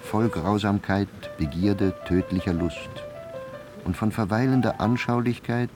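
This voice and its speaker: A man narrating a literary radio drama in measured, formal storytelling. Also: fairly low pitch, over music.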